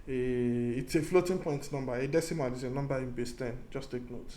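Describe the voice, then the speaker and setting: A man's voice: medium pitch, a little fast, dark tone, a little hiss or hum. A man teaching a programming lesson, thinking aloud as he goes, often restarting and repeating his key points. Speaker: programming tutor. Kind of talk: casual screen-recorded coding lesson.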